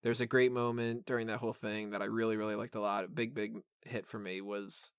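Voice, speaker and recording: A man's voice: lowish voice, little pitch movement, fairly quick, clean tone, no echo, studio quality. A man co-hosting a film review podcast, chatting casually and enthusiastically about details.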